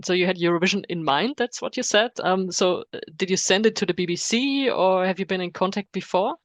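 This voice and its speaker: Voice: medium pitch, a little fast, no echo. A podcast interviewer whose first language is German, asking short, plain questions in careful English.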